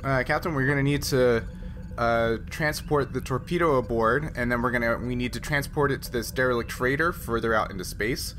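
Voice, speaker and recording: A man voicing a polite military chief scientist in a tabletop game, speaking in a measured, explanatory way. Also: mid-range voice, natural voice. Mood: businesslike. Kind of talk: tabletop role-play. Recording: clearly noisy group recording.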